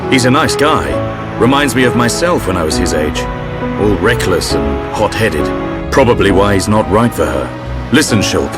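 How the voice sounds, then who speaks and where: Game role: male actor as protective warrior, low-pitched, reflective, with very expressive intonation. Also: over loud music, lightly acted. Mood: warm, confiding. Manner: measured, reflective. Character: earnest older-brother warrior, calm and protective. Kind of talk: video game cutscene acting.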